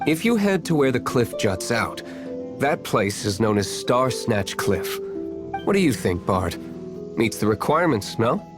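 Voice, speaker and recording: A man's voice: mid-range voice, very animated, unhurried, over music. A man voicing an aloof young nobleman in an anime-style video game, composed, dry and matter-of-fact.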